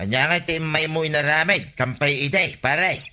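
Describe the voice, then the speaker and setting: An actor playing an agreeable village sidekick with an Ilocano Filipino accent, conversational and coaxing, in a radio comedy drama. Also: male voice, on the high side, normal speed, background noise, lightly acted.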